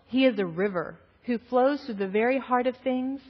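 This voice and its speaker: A woman's voice: a little high, normal speed, lightly acted. A woman voicing quarrelling animals and nature spirits in a storybook, each one emphatic and insistent. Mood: insistent, proud. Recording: muffled, echoey large hall.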